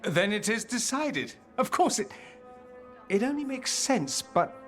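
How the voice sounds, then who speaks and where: A man's voice: very high-pitched, big pitch swings, a little slow, fairly bright tone, faint music behind, heavily acted. A man voicing a flamboyant, witty mage rebel leader in a video game, theatrical and eloquent, with a wry edge. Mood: flustered. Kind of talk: video game character dialogue.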